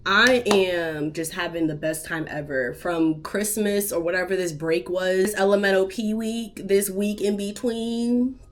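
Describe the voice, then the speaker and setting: A woman's voice: medium pitch, steady tone, unhurried, few pauses. A young woman with a stuffed-up nose chatting casually to her vlog camera at home, playful and full of slang. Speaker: vlogger and podcast host. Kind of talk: casual day-in-the-life vlog chat. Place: apartment living room, indoors. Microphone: camera on a tripod.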